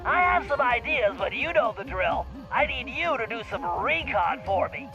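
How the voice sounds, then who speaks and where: Very high, nasal nerdy turtle over radio. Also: male voice, very animated, moderate pace, highs cut off, music in the background. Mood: brisk, playful. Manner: fussy, precise, earnest. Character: nerdy, bossy brainy male turtle gadget whiz. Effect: radio communicator filter. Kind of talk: cartoon game dialogue. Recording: band-limited radio communicator sound.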